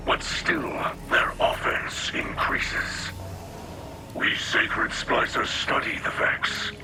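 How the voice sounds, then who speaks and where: Slow, solemn alien mystic. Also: male voice, medium pitch. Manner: solemn, formal, poetic, deliberate phrasing. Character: earnest, spiritual alien Fallen splicer mystic, patient mentor. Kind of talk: video game cutscene dialogue. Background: music.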